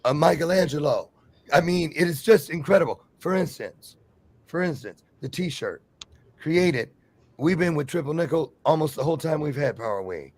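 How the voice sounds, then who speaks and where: A man speaking with effusive, animated enthusiasm on a talk show, eager to keep the floor. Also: on the high side, normal speed. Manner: effusive, animated, talks over others.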